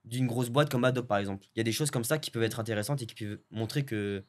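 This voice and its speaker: A young man from French Guiana, a video editor, chatting in a relaxed way with lots of fillers and restarts. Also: mid-range voice, very fast. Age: young adult. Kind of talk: casual interview chat.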